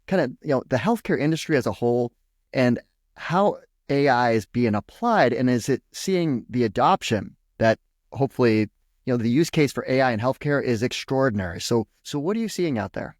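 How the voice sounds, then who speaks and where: A man's voice: mid-range voice, lively intonation, moderate pace, darkish tone, no echo, studio quality. Man hosting a conversational podcast interview.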